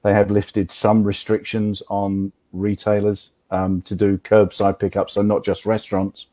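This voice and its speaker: An American man hosting a podcast, speaking casually with many pauses and repeated words. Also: lowish voice, monotone, mellow. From United States. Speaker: podcast host. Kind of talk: casual conversation.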